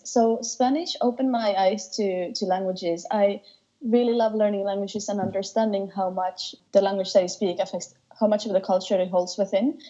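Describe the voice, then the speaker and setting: A young woman from Finland chats as an interview guest, eager and warm in tone, often circling back to restart her sentences. Her voice is mellow and soft-edged, and the recording sounds slightly dull and muffled. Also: mid-range voice. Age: 20s. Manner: enthusiastic, with frequent restarts and light laughs. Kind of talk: casual interview chat.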